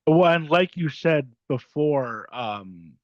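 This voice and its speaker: A man's voice: on the high side, expressive, slow pace, dry recording. A man hosting a casual interview, asking informal, loosely phrased questions. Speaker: podcast host. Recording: dry, slightly dull lo-fi sound.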